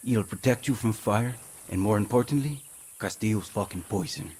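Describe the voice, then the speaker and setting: A man's voice: fairly low pitch, normal speed, a little mellow, husky. A man playing a bitter, hostile guerrilla fighter in a video game, speaking in a curt, resentful, profane way. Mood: curt orders.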